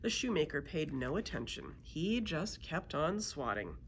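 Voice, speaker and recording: Animated low-voiced woman reading a storybook. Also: a little slow, slight background noise. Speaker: librarian reading aloud. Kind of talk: expressive children's storybook narration.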